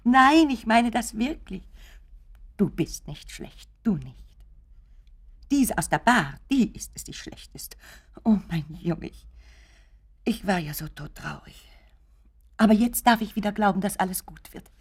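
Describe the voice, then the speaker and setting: Radio play mother: middle-aged, doting and worried, breathy mid-range voice, pleading, drawn-out delivery. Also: medium pitch, animated. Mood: relieved, emotional. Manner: pleading, drawn-out, dropping into whispers. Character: anxious, doting mother clinging to hope for her son. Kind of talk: German radio play acting.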